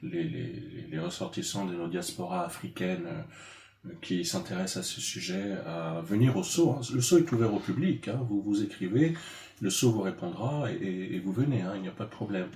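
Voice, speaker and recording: Deep-voiced male interviewer in echoey room. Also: moderate pace, dark tone. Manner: hesitant, many fillers. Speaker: interviewer, host. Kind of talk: conversational interview.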